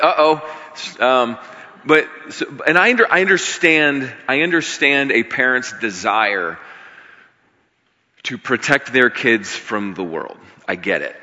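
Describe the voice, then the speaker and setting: A middle-aged American man preaches conversationally in a slightly scratchy, fairly low voice with a hard, bright edge. He is informal yet emphatic, pausing for weight. His words ring out with long reverb in a large hall. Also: highs cut off. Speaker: pastor. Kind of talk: conversational sermon to a congregation. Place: large room, speaking to a congregation.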